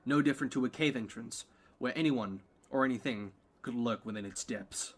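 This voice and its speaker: A man's voice: medium pitch, moderate pace. A man reading scripted narration for an audio play, telling the story scene by scene.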